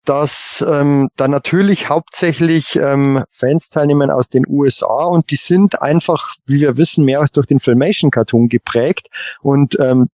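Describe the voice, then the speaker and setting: A man chatting casually as a podcast panelist, giving his opinions in a halting way with repeated words. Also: a little high, a little mellow, no echo, studio quality. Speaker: podcast panelist on a toy collector show.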